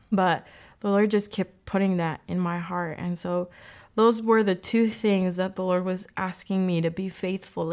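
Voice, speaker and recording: A woman's voice: mid-range voice, clear, resonant tone. Earnest woman sharing her faith. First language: English. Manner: earnest, gently emotional. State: voice catching with emotion. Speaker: podcast host. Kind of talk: heartfelt faith reflection.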